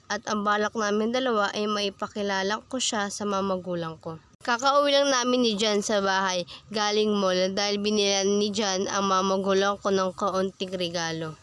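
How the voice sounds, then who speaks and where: A woman playing a lovestruck, defiant high school daughter in an amateur student radio drama. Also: fairly even intonation, moderate pace, a bit bright, smooth, close to an everyday voice. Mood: narrating. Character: lovestruck, defiant twelfth-grade daughter telling her story.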